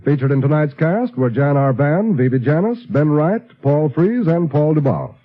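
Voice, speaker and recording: Male American announcer, slightly high and lively, polished old-time network radio delivery. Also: normal speed. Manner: polished, formal promotional delivery. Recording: muffled vintage radio broadcast, faint music.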